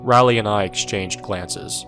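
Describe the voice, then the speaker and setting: A world-weary soldier narrating an audio drama in the first person. His voice is low, with a dry, irreverent, sardonic delivery. Music sits underneath. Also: measured pace, a bit bright. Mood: wry. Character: wry, world-weary male soldier recounting his war.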